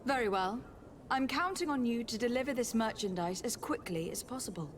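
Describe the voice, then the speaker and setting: Haughty young noble lady voiced by a woman, highish voice, formal and bossy, game scene with loud background noise. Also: expressive, a little fast, natural voice. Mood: firm. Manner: formal, imperious. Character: commanding young noblewoman, haughty, stern, indignant. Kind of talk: scripted video game acting. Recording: loud game ambience behind the voice.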